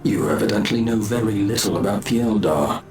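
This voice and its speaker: A man's voice: fairly low pitch, a little fast, natural voice. A man voicing a stern, scornful alien elf ranger in a video game, speaking formally and with superiority. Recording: loud music behind.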